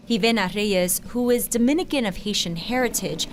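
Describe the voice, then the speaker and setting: A woman's voice: medium pitch, moderate pace, noisy background. A woman reading scripted news documentary narration in the manner of a reporter.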